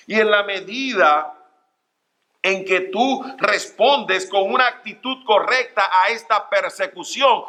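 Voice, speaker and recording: A middle-aged man preaching a church sermon, earnest and direct, calling his listeners brothers and pausing on fillers. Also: high-pitched, pushed and bright. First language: Spanish. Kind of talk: Spanish-language church sermon. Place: church sanctuary.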